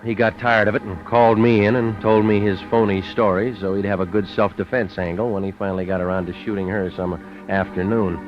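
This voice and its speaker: An actor playing a tough, weary, wisecracking private detective in 1940s radio style, speaking in a clipped, dry, deadpan way. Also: male voice, fairly low pitch, fairly quick, hardly any pauses, lightly acted. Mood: wry, matter-of-fact. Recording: muffled vintage radio recording with music.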